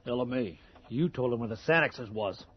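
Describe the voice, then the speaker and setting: Actor as a raspy, surly country man. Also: medium pitch, moderate pace, lightly acted. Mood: suspicious. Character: suspicious, surly small-town local man. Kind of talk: 1980s radio drama acting. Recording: muffled old radio broadcast.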